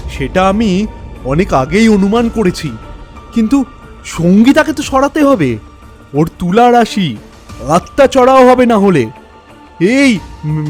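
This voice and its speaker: A man plays a tantric guru's young male disciple in a horror audio drama. He pitches his voice high with big swings, drawing words out slowly in emphatic worry. Faint music plays underneath. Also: heavily acted. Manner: urgent, worried, emphatic.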